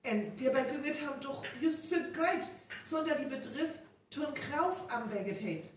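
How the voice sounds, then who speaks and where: Actress as outspoken village woman, North Frisian accent, high voice, very echoey live stage sound. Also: animated, normal speed, recorded from a distance. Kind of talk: live radio play dialogue. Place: reverberant venue, distant microphone. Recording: very echoey live recording, faint noise.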